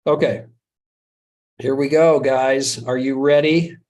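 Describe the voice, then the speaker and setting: A man's voice: mid-range voice, steady tone, slow pace, darkish tone. A middle-aged American man hosting a live webinar in a casual, chatty way, joking at his own expense and chuckling often. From United States. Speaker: webinar host.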